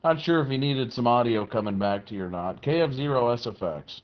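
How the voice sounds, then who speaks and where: A man's voice: mid-range voice, lively intonation, fairly quick, very noisy. A man chatting casually as a radio operator, thinking aloud in a hesitant way. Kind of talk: casual two-way radio chat. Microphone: two-way radio app or handset. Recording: muffled, band-limited walkie-talkie audio.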